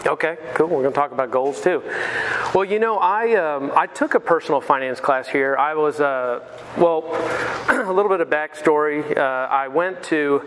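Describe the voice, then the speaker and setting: Man in his thirties, from Pensacola, finance seminar speaker, slightly high voice, chatty, asks the audience questions, faint room noise. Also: normal speed, fairly bright tone. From Pensacola, Florida. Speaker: mortgage sales manager giving a seminar. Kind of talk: casual seminar talk. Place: lecture room.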